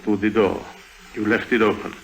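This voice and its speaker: An actor playing a suave, menacing criminal doctor in a vintage radio drama, mock-polite and pedantic. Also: male voice, lowish voice, steady tone, normal speed, vocal fry, a bit bright, poor audio. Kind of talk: 1950s radio drama acting.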